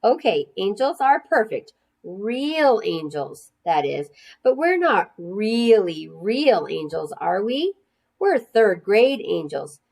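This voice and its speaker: Storybook schoolteacher, performed by a woman: female, upbeat, theatrical, drawn-out emphasis, medium pitch. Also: sing-song, gravelly, darkish tone, exaggerated voice. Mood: coaxing. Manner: slow, drawn-out, emphatic delivery to a class.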